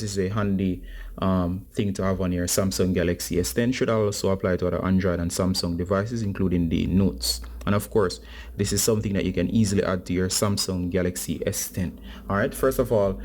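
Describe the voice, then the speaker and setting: A man with a low-pitched voice hosts a tech tutorial. He talks viewers through each step in an informal, instructional way, as if chatting to a group of guys. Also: a little mellow. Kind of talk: casual step-by-step phone tutorial.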